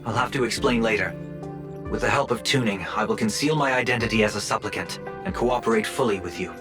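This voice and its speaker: A man's voice: fairly low pitch, quick pace, close to an everyday voice. A man voicing a courteous, dutiful agent in an anime game, formal and businesslike, over background music. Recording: music behind.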